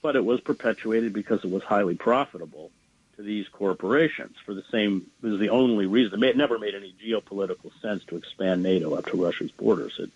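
A man speaking as a journalist guest in a political interview, earnest and halting, with many fillers and restarts. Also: fairly low pitch, a little mellow, husky, no room sound, lo-fi sound.

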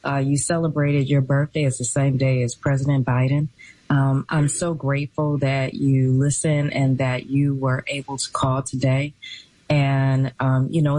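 A woman hosting a call-in radio show, speaking in a warm, affirming and unhurried way. Her voice is slightly breathy and sits at a middle pitch, recorded dry and close. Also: no echo, low-quality recording. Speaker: radio talk show host.

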